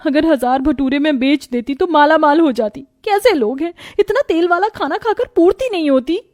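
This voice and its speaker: A woman's voice: high-pitched, moderate pace, darkish tone, dry recording, clean studio sound, natural voice. A woman voicing a young, overworked, exasperated daughter-in-law in a cartoon story, with a dramatic complaining manner. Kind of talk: Hindi cartoon story voice acting.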